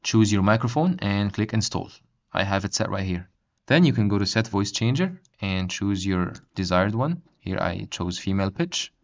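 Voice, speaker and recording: A man narrating a step-by-step software tutorial as its host, on a dull, lo-fi recording. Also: fairly low pitch, expressive, normal speed. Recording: dull, lo-fi microphone sound.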